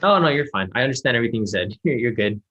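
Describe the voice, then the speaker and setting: A man mentoring a student over a video call in a relaxed, reassuring way with many fillers. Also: medium pitch, racing, highs cut off. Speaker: trading mentor. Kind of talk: casual one-on-one mentoring call.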